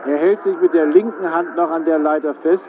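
A man's voice: a little high, fairly even intonation, a little fast, phone-quality sound, lightly acted. A man giving careful, intent live commentary in the style of a 1960s broadcast. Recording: band-limited old broadcast with crowd noise.

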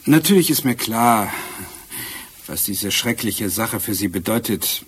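An actor in a German radio play playing an urbane, courteous but persistent British police inspector with a measured, slightly hesitant manner. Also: male voice, lowish voice, lively intonation, slightly rough. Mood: formal, insistent.

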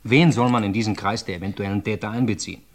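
An actor playing a lawyer who reasons aloud in a measured, deliberate way in an old radio play. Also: male voice, on the low side, steady tone, lightly acted. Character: thoughtful middle-aged male lawyer sleuth, measured.

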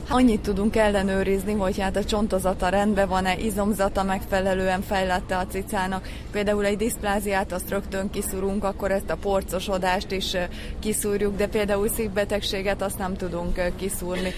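Woman expert answering interview questions, matter of fact, pretty monotone, noisy behind her. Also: mid-range voice. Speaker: cat breeder and show judge, interviewee. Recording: clear noisy background behind speech.

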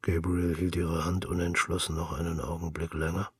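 A man narrating a literary story for radio in a measured, solemn manner. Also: deep voice, level tone, slightly rough, dry recording.

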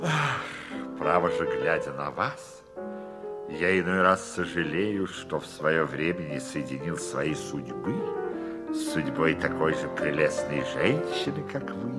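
An actor playing a courtly, touchy older uncle and amateur astronomer in a 1960s Soviet radio play, speaking with florid, old-fashioned politeness. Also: male voice, a bass voice, very animated, a little slow, exaggerated voice. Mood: gallant, wistful. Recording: vintage radio drama with faint music.

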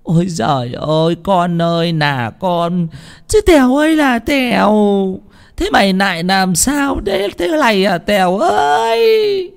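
A man's voice: high voice, big pitch swings, creaky, clear, resonant tone, pitched above the performer's own voice, full character voice. A man voicing an anxious, tearful village mother who laments over her son in an audio story. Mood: wailing, distraught. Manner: wailing, drawn-out lamenting.

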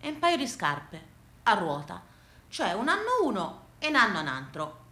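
A woman with a Tuscan accent telling a theatrical monologue for radio in an animated, folksy way. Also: medium pitch, normal speed, roomy sound. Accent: Tuscan Italian. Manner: animated, folksy, dialect-laced delivery. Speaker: actress and solo storyteller.

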